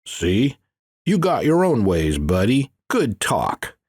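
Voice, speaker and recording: A man's voice: fairly low pitch, wildly swooping pitch, slow pace, a little mellow, husky, no room sound, studio quality, voice pushed up, heavily acted. A man voicing an exasperated guy who talks in casual, clipped phrases with forced cheer, as an audiobook character. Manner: clipped, casual, falsely merry.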